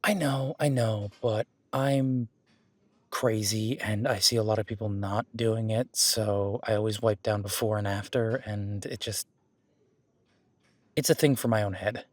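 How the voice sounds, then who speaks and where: A man's voice: on the low side, steady tone, a little slow, no room sound. A man playing a friendly, easygoing werewolf boyfriend in a conversational audio roleplay, reassuring and rambling as he corrects himself. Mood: encouraging, casual. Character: friendly male werewolf gym-goer, easygoing supportive boyfriend. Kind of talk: conversational one-sided roleplay acting.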